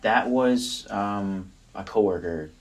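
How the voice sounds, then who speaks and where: A man in his late twenties, chatty and quick to laugh, jumping into casual podcast banter. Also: lowish voice, far from the mic. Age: late 20s. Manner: chatty, laughs often, interjects.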